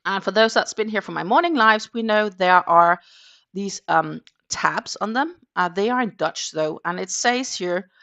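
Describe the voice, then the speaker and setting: A woman's voice: medium pitch, a little slow, pushed and bright, highs cut off. A Danish-born woman hosting a casual morning crochet livestream in English, chatting in a rambling way with lots of fillers. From Denmark.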